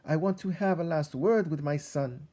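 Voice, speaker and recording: A man's voice: a little high, normal speed, mellow, voice pushed up, close to an everyday voice. A man voicing an anguished, theatrical mother mouse in a storybook, pleading and exclaiming in an overwrought way.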